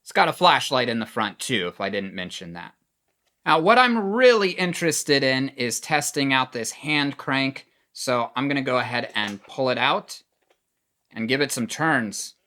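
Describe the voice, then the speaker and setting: A man presents a product in a casual review style. He has a mid-pitched voice and explains things in a plain-spoken, step-by-step way. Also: lively intonation, normal speed, pushed and bright.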